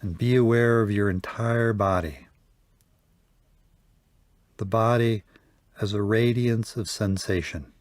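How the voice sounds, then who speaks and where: A man leading a guided yoga nidra meditation, speaking softly and calmly with long pauses. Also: fairly low pitch, dry recording. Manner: slow, soft, calm guiding with long pauses. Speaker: meditation teacher.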